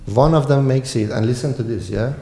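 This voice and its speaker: A man's voice: mid-range voice, brisk, warm tone, roomy sound. A man with an Iranian accent, a football coach speaking frankly and emphatically in a podcast interview. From Iran.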